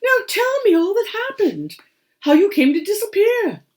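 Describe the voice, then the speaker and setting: A woman's voice: very high voice, huge pitch swings, moderate pace, darkish tone, voice pushed up, exaggerated voice. A woman voicing a kindly, sentimental old grandpa badger magician in a storybook, speaking with theatrical feeling. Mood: overjoyed. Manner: theatrical, heartfelt exclaiming.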